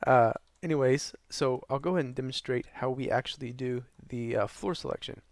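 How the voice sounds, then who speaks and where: A young man narrating a gaming tutorial while losing his voice, hoarse and tired, rambling with many ums and uhs. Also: fairly quick, no echo, clean studio sound. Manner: tired, low energy. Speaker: gaming channel host. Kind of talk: casual tutorial narration over gameplay.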